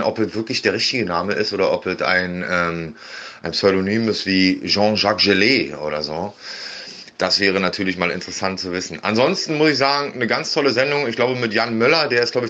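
Male listener, bright and forceful, jovial, lo-fi phone recording. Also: on the low side. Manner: jovial, playful, rambling. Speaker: listener sending a voice message. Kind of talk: recorded voice message. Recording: dull lo-fi voice message.

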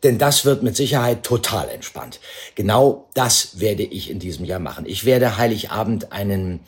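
Low-voiced, very animated man. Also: moderate pace. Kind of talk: informal recorded holiday greeting.

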